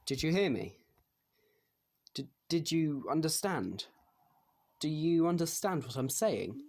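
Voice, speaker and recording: Man playing a mellow-voiced, uneasy doctor. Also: fairly high pitch, sing-song, takes it slowly, full character voice. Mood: careful, uneasy. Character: anxious, patient male doctor at a children's home. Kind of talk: audio drama acting.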